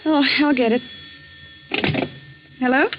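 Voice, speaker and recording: A woman's voice: high-pitched, takes it slowly, slight background noise. A woman playing a perky, teasing grown daughter in a flippant 1940s radio drama style. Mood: excited. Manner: lively, flippant. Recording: dull 1940s radio recording.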